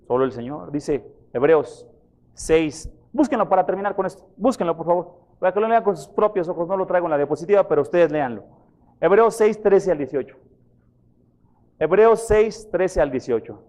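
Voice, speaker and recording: A pastor preaching a sermon, questioning his congregation and retelling scripture in a lively way. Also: sits high. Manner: questions the congregation, repeats references for them. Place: church.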